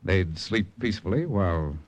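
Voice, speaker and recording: An actor playing a calm American undercover agent in 1940s radio style, measured, confiding and reassuring. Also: male voice, sits low. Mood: persuasive. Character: calm, steady American undercover spy, trusting and persuasive.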